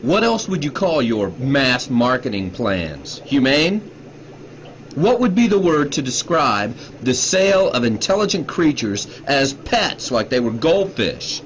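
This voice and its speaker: Actor as a bitter, animated male scientist. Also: medium pitch, unhurried. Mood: indignant, sardonic. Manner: grave, sardonic, accusing. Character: bitter, sleepless male scientist, grimly vengeful. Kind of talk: radio drama acting. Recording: dull radio drama recording, faint noise.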